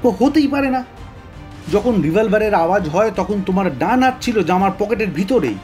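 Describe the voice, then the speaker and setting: A man playing an excitable, easily baffled police inspector in an audio detective drama, speaking in exclamations. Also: sits high, normal speed, soft background music. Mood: excited, astonished. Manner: exclamatory, astonished.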